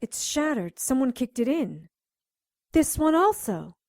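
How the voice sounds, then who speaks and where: A woman playing a sharp-tongued spellcaster sidekick in an audio drama, wry and practical. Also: high-pitched, sing-song, unhurried, dry recording, clean studio sound, heavily acted.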